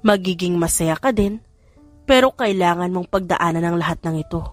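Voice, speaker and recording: A woman's voice: fairly low pitch, moderate pace, dry recording, faint music behind, lightly acted. A woman voicing an older, wealthy matriarch in an audiobook, a firm but fond mother with an authoritative, motherly manner. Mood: gentle, reassuring. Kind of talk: audiobook character dialogue.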